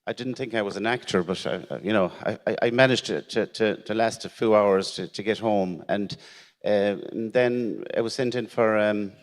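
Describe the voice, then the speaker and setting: A middle-aged Irish man with a lowish voice, recorded with a long hall reverb. His first language is Irish, and he tells his story haltingly, often repeating words and laughing wryly. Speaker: shed member telling his story. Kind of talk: personal story at a conference. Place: conference hall.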